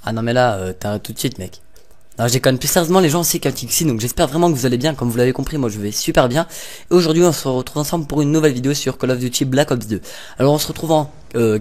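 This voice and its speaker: A man's voice: mid-range voice, a little mellow. A young man casually commenting over gameplay, rambling and hesitant with lots of fillers and little jokes. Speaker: gaming YouTuber.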